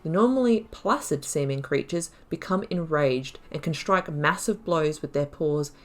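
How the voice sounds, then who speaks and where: A woman's voice: low voice, lively intonation, normal speed. A woman hosting a gaming video, chatting casually through a playthrough and reading lore aloud.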